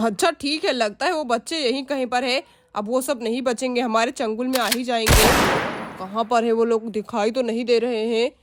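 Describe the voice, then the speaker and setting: एक महिला एक बंदूकधारी पुरुष डाकू की आवाज़ निकालती है, भारी और धमकी भरे, चिढ़ाने वाले अंदाज़ में।. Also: female voice, fairly high pitch, fairly even intonation, normal speed, slight background noise, pitched below the performer's own voice, lightly acted. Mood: menacing. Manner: gruff, threatening, mocking. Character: menacing male dacoit kidnapper with a gun.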